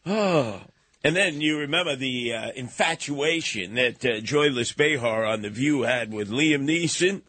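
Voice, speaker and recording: Bright, forceful male radio host. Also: medium pitch, animated, a little slow, no echo. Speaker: radio talk show host. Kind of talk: casual radio banter, mocking commentary.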